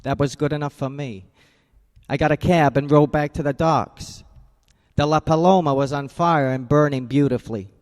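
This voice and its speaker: An actor playing a tough, wisecracking private eye who talks in terse, sardonic lines and narrates in a hard-boiled style. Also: male voice, fairly high pitch, normal speed, a little mellow, natural voice. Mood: narrating.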